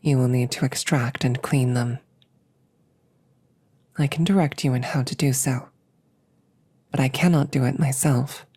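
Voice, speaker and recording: Woman voicing a very low, breathy, slow female android. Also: steady tone, full character voice. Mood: measured, factual. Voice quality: soft, breathy, often near a whisper. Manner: slow, measured, soothing, formal phrasing. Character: calm, protective female combat android, wounded but reassuring. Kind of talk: intimate roleplay audio drama, sleep aid.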